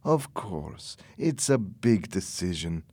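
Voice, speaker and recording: A man's voice: low voice, big pitch swings, slow pace, dark tone, breathy edge, no echo, exaggerated voice. A man voicing a pushy foreign gangster businessman with a strong accent and brusque broken English.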